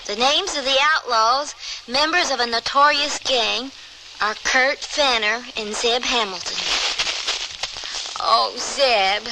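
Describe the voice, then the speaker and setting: An actress playing a loyal, caring young niece in a radio western, earnest and pleading in manner. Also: female voice, high voice. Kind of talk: radio western drama acting. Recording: noisy old radio drama recording.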